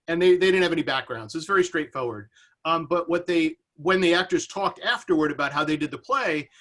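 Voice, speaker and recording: A man with a slightly high, bright, forward voice talks casually as a seminar panelist. He rambles, working his thoughts out aloud as he goes. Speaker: seminar panelist asking a question. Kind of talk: casual discussion on a video call. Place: video call.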